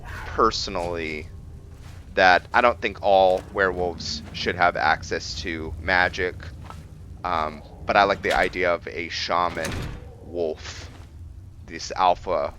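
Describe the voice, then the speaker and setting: Male game streamer, deep and unhurried, halting lore explainer, slight background noise. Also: steady tone, pushed and bright. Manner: halting, thinking aloud. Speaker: gameplay host and role-player. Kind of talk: casual game commentary explaining character lore.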